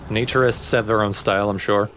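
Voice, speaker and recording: A man's voice: fairly low pitch, steady tone, brisk, fairly bright tone, a little hiss or hum. A man co-commentating on a video game let's play in a casual, conversational style. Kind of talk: casual chat.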